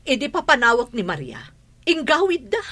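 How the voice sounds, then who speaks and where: A woman's voice: sits high, huge pitch swings, moderate pace, a bit bright, full character voice. A woman with an Ilocano accent playing a stubborn, aggrieved grown daughter and wife in an audio drama. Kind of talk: Ilocano radio drama acting.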